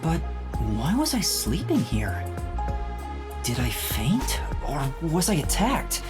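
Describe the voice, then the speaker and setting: A young trainee detective in a video game, earnest and a little unsure. The pitch sits low but moves about a great deal as the detective thinks aloud, with music underneath. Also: female voice, sing-song, slow pace, exaggerated voice. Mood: puzzled. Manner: musing, self-questioning.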